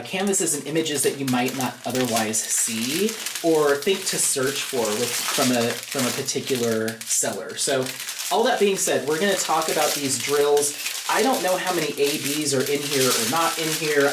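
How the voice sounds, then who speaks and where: A woman giving a casual, chatty unboxing commentary, thinking aloud as she goes at a craft table. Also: very deep voice. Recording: roomy, echoey sound with background noise. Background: crinkling plastic bags, clicks.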